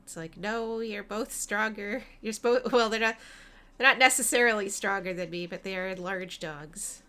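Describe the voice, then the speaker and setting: A woman's voice: medium pitch, steady tone, sharp tone, clear, resonant tone. A woman streamer chatting casually with viewers while she draws, a little sniffly. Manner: slow, chatty, reads viewer messages aloud. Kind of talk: casual livestream chat while drawing.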